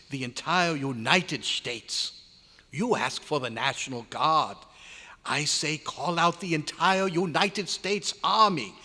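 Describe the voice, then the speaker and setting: Man acting despairing scientist, husky, reverberant hall. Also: a little high, a bit bright, exaggerated voice. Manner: emphatic, halting, repeats words for dramatic weight. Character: despairing, agitated male scientist warning of doom. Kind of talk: old-time radio horror drama acting. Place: hotel convention meeting room, live performance. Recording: live hall, long reverb.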